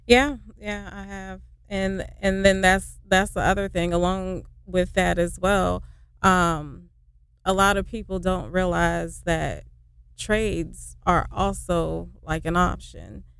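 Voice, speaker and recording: A woman's voice: fairly low pitch, slow, creaky, fairly bright tone, smooth, dry recording. A woman who founded a nonprofit, speaking as a radio guest in a hesitant, earnest way with many fillers. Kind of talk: casual radio interview.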